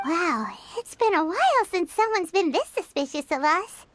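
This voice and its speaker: Squeaky, tiny game companion creature. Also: female voice, very animated, moderate pace, a bit bright, dry recording, exaggerated voice. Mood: curious. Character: tiny, childlike, chatty female companion creature. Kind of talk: anime-style video game voice acting.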